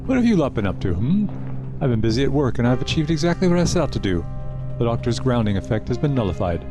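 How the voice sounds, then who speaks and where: Guy playing a sly smug audio drama villain, mocking, pitch swinging all over, music behind. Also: big pitch swings, fast, lightly acted. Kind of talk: full-cast audio drama acting.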